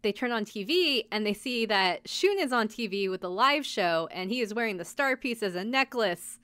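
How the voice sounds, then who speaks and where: Clear-voiced woman chatting on a podcast. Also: fairly high pitch, lively intonation, normal speed, fairly bright tone, no echo. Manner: informal, acts out characters' lines in passing. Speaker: podcast host recapping anime episodes. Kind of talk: casual chatty recap.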